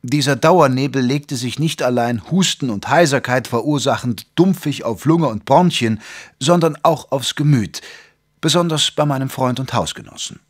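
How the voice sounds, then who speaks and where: An actor playing a gentleman physician who narrates a detective radio play in a measured, literary, wry manner. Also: medium pitch, natural voice. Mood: reflective, wry. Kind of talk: scripted audio drama narration and dialogue.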